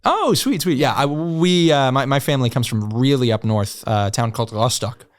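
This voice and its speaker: A man's voice: medium pitch, animated, normal speed. A young adult man chatting as a podcast guest, joking and laughing between lines. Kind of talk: casual chat.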